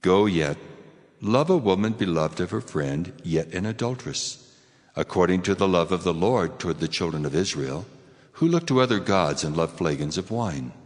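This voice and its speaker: Deep-voiced solemn God of scripture. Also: male voice, expressive. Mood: solemn command. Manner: solemn, measured, declamatory. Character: solemn God speaking to his prophet, male, tender yet commanding. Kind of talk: dramatized King James scripture reading.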